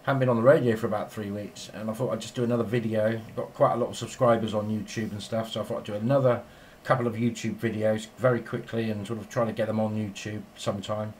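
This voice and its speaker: A man's voice: lowish voice, fairly even intonation, quick pace, hardly any pauses, a little mellow, slight background noise, rough recording. A man chatting casually and in a rambling way as a radio operator filming in his home shack. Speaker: amateur radio operator and video maker.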